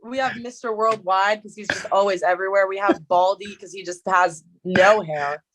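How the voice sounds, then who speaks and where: A woman's voice: medium pitch, moderate pace, fairly bright tone. A young woman from New Jersey with a Jersey accent, chatting as an interview guest and filling her talk with "like". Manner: chatty, lots of "like" fillers. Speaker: guest, social media creator. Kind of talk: casual interview chat.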